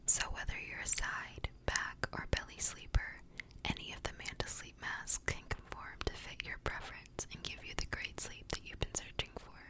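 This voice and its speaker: A woman hosting an ASMR podcast in a soft, breathy whisper, speaking gently and without hurry into a close microphone. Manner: gentle, slow, unhurried delivery. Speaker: podcast host.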